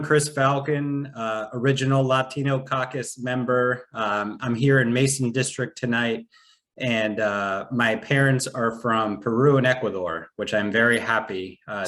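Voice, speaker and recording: A man introduces himself to an online meeting in a relaxed, friendly way. His voice sits in an ordinary mid range. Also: medium pitch. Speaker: caucus member and campaigner. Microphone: video call.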